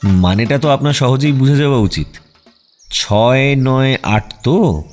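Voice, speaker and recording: An actor playing a shrewd, self-possessed private detective in a radio drama, with a deliberate, probing and wry delivery. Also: male voice, mid-range voice, expressive, a little mellow, faint music behind, close to an everyday voice. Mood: teasing, deliberate. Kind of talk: Bengali radio audio drama acting.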